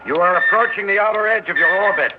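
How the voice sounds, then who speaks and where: Clinical army shrink asking questions, man voicing him, a bit high, muffled hissy old radio. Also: quick pace, close to an everyday voice. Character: calm male military psychiatrist, clinical and probing. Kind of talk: 1960s radio drama acting.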